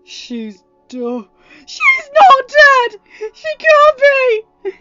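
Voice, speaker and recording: A woman's voice: very high voice, huge pitch swings, very slow, sharp tone, lo-fi sound, soft background music, voice pushed up, full character voice. A woman voicing a grief-stricken young man who screams and sobs, pleading for his sister. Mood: anguished screaming. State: crying.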